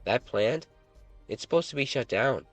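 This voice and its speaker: A man's voice: mid-range voice, expressive, normal speed, no echo, faint music behind, voice pushed up, close to an everyday voice. A man voicing an alarmed, emphatic young woman investigator in a story. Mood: urgent. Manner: urgent, emphatic. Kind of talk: story character dialogue.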